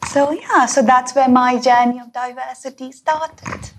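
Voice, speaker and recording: High-voiced woman telling an earnest story. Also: steady tone, unhurried. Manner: earnest, impassioned. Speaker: panelist and mechanical engineer. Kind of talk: panel discussion, personal story.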